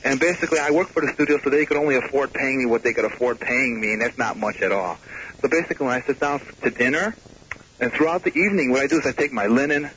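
A man's voice: mid-range voice, fast, fairly bright tone. Man calling a radio show, dull noisy line. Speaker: radio phone-in caller. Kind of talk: casual anecdote telling.

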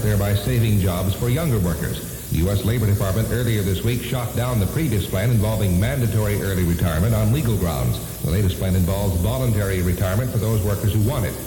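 Male news anchor for vintage AM bulletin: rapid scripted read, heavy echo. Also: fairly low pitch. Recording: hissy 1970s AM radio, reverberant.

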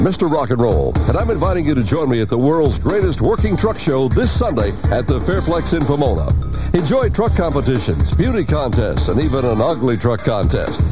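Lively, higher-pitched man reading a radio promo. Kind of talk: upbeat scripted radio ad read. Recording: dull radio aircheck with music. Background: music.

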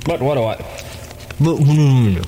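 A man's voice: mid-range voice, lively intonation, fairly quick, warm tone. A man speaking as a newsman and co-host on a noisy 1970s radio broadcast.

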